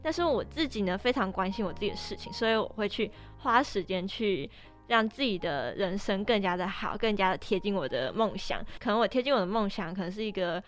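A woman's voice: mid-range voice, animated, fairly quick, soft background music. A young woman co-hosting a podcast in casual conversation. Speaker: podcast co-host.

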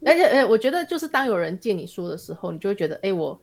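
A woman's voice: mid-range voice, big pitch swings, rapid-fire, vocal fry, darkish tone. A woman with a Taiwanese Mandarin accent in casual podcast chat, speaking in an eager, exclamatory, colloquial way.

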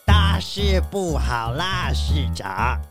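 A man voicing a worried sea turtle captain in a children's audio drama, with ponderous, drawn-out speech. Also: fairly high pitch, sing-song, faint music behind, full character voice. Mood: anxious, secretive.

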